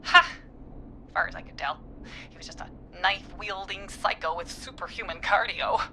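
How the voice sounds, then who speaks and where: An actress playing a perky, flirty, evasive jazz studio owner in a video game, with a theatrical, gushing manner. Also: female voice, medium pitch, normal speed, creaky, pushed and bright, slight background noise.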